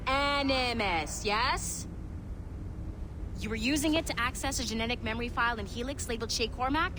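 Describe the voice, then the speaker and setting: A woman playing a brisk, sarcastic modern tech specialist in a video game, urgent and sardonic. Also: high-pitched, background noise. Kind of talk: video game cutscene acting. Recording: music behind the voice.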